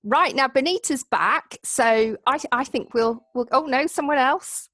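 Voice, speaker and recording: A woman's voice: high voice, unhurried, fairly bright tone, dry recording, clean studio sound. A woman chairing an online gathering on a video call, chatty and encouraging as she gives an informal welcome. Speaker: meeting host, society chair.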